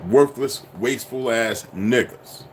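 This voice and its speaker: A man's voice: medium pitch, measured pace, slight background noise. A man delivering a profane, preachy, insistent monologue to his viewers. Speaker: commentator.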